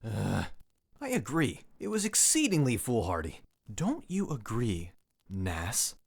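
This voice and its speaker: An actor playing a nervous, bewildered fantasy cleric with a hesitant, formal way of speaking. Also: male voice, medium pitch, wildly swooping pitch, slow, gravelly, exaggerated voice. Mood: uneasy.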